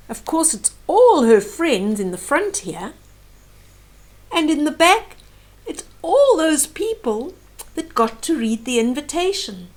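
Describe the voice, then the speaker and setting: An older woman with a high voice reads a picture book aloud for young children. Her pitch swings expressively and she goes slowly, adding little explanations for her listeners as a storyteller would. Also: rough recording.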